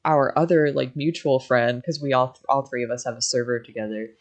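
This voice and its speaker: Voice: mid-range voice, fairly quick. A young adult from Michigan with an American accent, chatting casually on a livestream in a rambling, backtracking way full of repeated phrases. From Michigan, United States.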